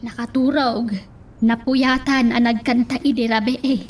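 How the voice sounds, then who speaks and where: A woman's voice: on the high side, steady tone, a little slow. An actress with a Filipino accent playing a chatty, long-suffering household woman in a radio drama.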